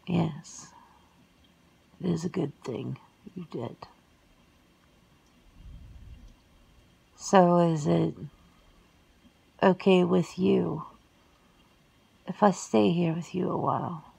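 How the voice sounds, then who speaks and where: Woman acting a hushed, creaky, wistful vampire sister. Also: lowish voice, exaggerated voice, rough recording. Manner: slow, hushed, formal, measured. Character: wistful, reserved, long-lived vampire sister, lonely wanderer. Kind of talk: ASMR roleplay acting.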